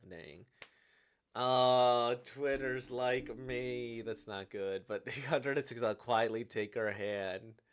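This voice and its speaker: A man's voice: medium pitch, takes it slowly, smooth. A man giving casual playthrough commentary as a video host reacting to what he reads.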